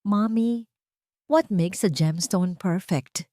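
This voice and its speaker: A woman's voice: mid-range voice, slow, warm tone, no echo, studio quality, voice pushed up, natural voice. An earnest seven-year-old girl with a Filipino accent , voiced by a woman narrator, curious about everything. She speaks to her mother innocently and directly in an audiobook drama.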